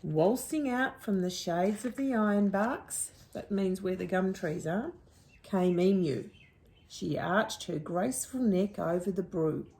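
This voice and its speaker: A woman reading a picture book to young children, pausing to ask the listeners questions. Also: medium pitch, expressive, darkish tone. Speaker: storyteller.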